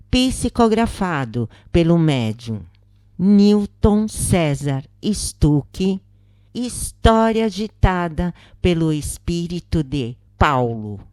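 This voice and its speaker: Brazilian woman, audiobook narrator, mellow low voice, expressive melody, measured delivery. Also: no echo, clean studio sound. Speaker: narrator of spiritist stories.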